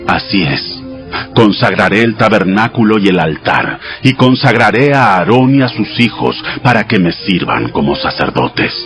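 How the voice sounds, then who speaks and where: A man playing God as a solemn, commanding male deity, delivering measured commands in a dramatized audio scripture. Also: lowish voice, sing-song. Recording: music behind the voice. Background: music.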